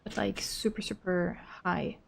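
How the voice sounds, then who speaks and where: A woman's voice: mid-range voice, level tone, a little slow, vocal fry. A woman livestreamer giving casual commentary on a game, rambling informally as she thinks aloud. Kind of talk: casual commentary over gameplay.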